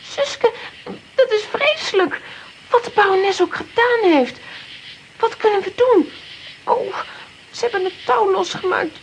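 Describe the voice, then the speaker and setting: A woman playing an excitable, anxious young adventurer in a children's audio drama, with dramatic exclamations. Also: very high-pitched, unhurried, long pauses, a little hiss or hum, heavily acted. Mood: frightened.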